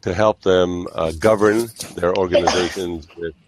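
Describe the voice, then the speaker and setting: A man hosting a casual online group chat, explaining things in a measured, halting way. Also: low-pitched, fairly even intonation, background noise. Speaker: host of an online group discussion of consultants.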